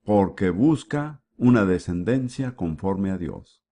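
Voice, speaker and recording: A man giving the voice-over for a sermon, with a measured preaching delivery and frequent rhetorical questions. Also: low-pitched, lively intonation, dark tone. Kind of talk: dubbed sermon.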